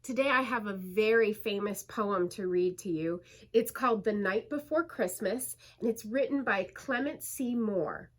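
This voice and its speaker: A woman reading a rhyming storybook aloud to children in an expressive, lilting way, with theatrical emphasis on the verse. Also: medium pitch, unhurried, hardly any pauses.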